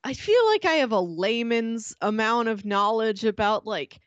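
A woman's voice: a little high, expressive, unhurried, no room sound, dull audio. A woman co-hosting a podcast, chatting casually and conversationally. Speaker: podcast co-host. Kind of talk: casual chat about fiction.

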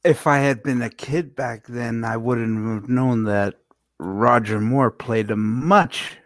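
A man's voice: mid-range voice, takes it slowly, a bit bright, dry recording, clean studio sound. A man co-hosting a radio show in casual conversation. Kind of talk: casual chat.

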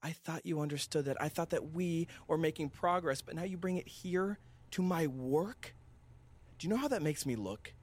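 A man's voice: a little high, moderate pace, darkish tone, natural voice. A man playing a stern, exasperated father in an audio drama, firm and scolding with his young son. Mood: angry, scolding.

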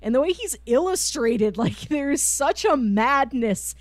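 A woman's voice: high-pitched, expressive, unhurried, dry recording. A woman co-hosting a podcast, chatting casually and emphatically about a book. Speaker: podcast co-host. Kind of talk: casual book discussion chat.

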